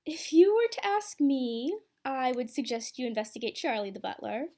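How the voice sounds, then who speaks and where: A woman's voice: sits high, very animated, normal speed, darkish tone. A woman playing an anxious, earnest nurse in an amateur radio play. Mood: accusing. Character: anxious, pleading young female nurse under suspicion.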